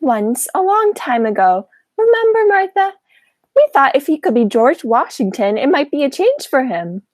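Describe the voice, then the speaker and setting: A young woman acting a sweet, fussy, chatty elderly aunt with a genteel manner in a high school radio play. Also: very animated, normal speed, a little mellow, no echo, clean studio sound. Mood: cheerful, fussy. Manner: genteel, fluttery, coaxing.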